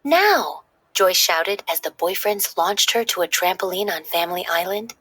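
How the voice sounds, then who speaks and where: A woman narrating a children's story in an expressive, dramatic read-aloud style. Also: lowish voice, normal speed, slightly rough.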